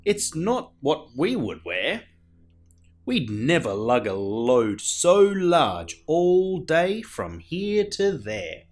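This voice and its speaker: Man acting sly slimy slug, mocking, haughty, raised pitch, drawn-out words, storybook villain. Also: huge pitch swings, a little mellow, heavily acted. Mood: jeering. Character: mean, sly, jeering slimy slugs. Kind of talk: storybook character voice.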